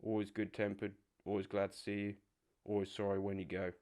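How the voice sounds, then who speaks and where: A man voicing a cheerful, confident male water rat who speaks briskly. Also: lowish voice, flat delivery, a little mellow, lightly acted.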